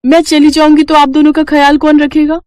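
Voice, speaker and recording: A woman's voice: sits high, monotone, fairly quick, darkish tone, no room sound, voice pushed up, close to an everyday voice. A woman voicing a playful young Indian daughter who teases and pleads with her parents in a story. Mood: protesting. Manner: pert, pleading, teasing. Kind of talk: animated Hindi moral story dialogue.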